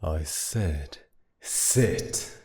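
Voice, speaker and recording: A man playing a mocking, menacing masked villain kidnapper in an intimate ASMR roleplay, with a gravelly, breathy murmur that often sinks toward a whisper. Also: extremely low pitch, animated, darkish tone, full character voice. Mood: commanding, mocking. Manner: taunting, commanding, slow and teasing.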